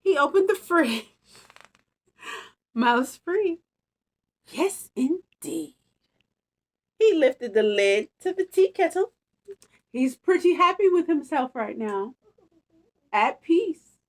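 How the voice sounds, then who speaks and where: A woman reading a picture book aloud as a storytime host, expressive and chatty with casual asides. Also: high voice, slow, long pauses. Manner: animated, chatty, savouring phrases. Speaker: storytime reader.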